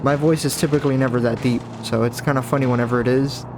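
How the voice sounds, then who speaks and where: Guy rambling over his gameplay, deeper just-woke-up voice, game sounds loud behind him. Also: fairly even intonation, fast talker, background noise. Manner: chatty, rambling, self-correcting. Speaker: gaming YouTuber. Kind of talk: casual let's-play commentary.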